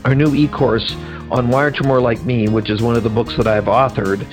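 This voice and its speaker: A man's voice: medium pitch, little pitch movement, normal speed, music in the background. Upbeat encouraging podcast host, man. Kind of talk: podcast interview and promo read.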